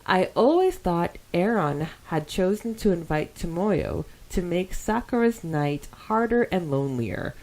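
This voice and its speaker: Woman, slightly low pitch, podcast co-host, casual fan chat, reads comments aloud, conversational reactions. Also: sing-song, measured pace, hardly any pauses, darkish tone, low-quality recording. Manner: informal and conversational, reacting aloud to what is read.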